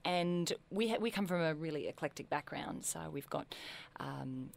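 A woman's voice: deep voice, lively intonation, normal speed, gravelly. A woman, a comedy performer chatting as a radio guest, laughing easily and using many fillers. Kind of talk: casual radio interview.

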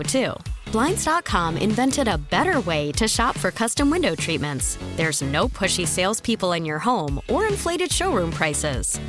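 Lively woman reading a home ad. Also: fairly high pitch. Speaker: ad announcer. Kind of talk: scripted ad read.

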